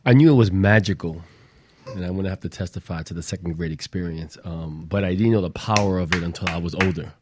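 A man who is a guest on a radio talk show, telling an animated story in a testifying tone. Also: low-pitched, quick pace, a little hiss or hum.

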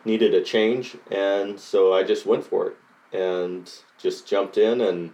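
A man's voice: low voice, steady tone, slow, dark tone, highs cut off. A middle-aged man from Costa Rica answering interview questions about changing careers, hesitant with many fillers and restarts. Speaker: coding bootcamp graduate.

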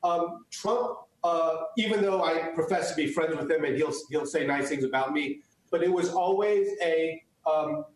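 A man's voice: on the high side, moderate pace, few pauses, heavy reverb, rough recording. A man, born in the US and raised speaking Chinese first, answering interview questions earnestly with many restarts. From United States. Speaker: guest, photographer.

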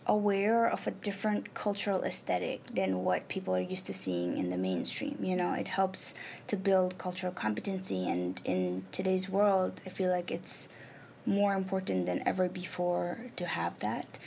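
A young woman from Nepal, a native Nepali speaker, answering interview questions about her art in a thoughtful way, with many ums and pauses. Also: mid-range voice, lively intonation, vocal fry, a little mellow. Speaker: artist and arts program manager. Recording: faint background noise.

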